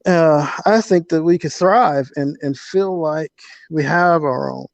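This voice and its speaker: Middle-aged male guest, gravelly and fairly high, reflective, halting delivery, muffled lo-fi sound. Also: dry recording. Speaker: interview guest, author. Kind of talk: casual, reflective conversation.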